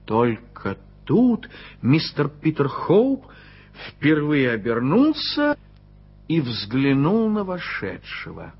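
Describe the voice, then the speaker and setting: A man narrating a radio play in an expressive storytelling manner. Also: fairly high pitch, takes it slowly, a little hiss or hum. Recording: dull lo-fi old radio recording.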